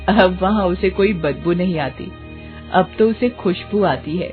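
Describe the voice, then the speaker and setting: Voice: mid-range voice, measured pace. Thin, phone-like storybook narrator. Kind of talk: scripted Hindi storytelling narration. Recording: phone-like thin sound, music behind.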